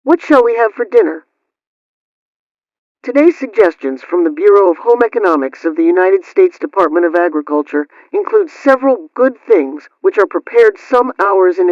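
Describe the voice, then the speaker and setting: A man's voice: high-pitched, no room sound. A man presenting a radio program, reading scripted household advice. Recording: dry, dull lo-fi radio sound.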